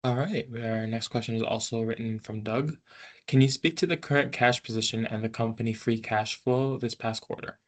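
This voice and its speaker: A man's voice: mid-range voice, steady tone, moderate pace. A man moderating a business call, reading written investor questions aloud in a formal question-and-answer format.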